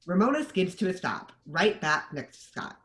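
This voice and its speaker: A man's voice: sits high, sing-song, moderate pace, fairly bright tone. A man narrating the stage directions of a screenplay at a table read.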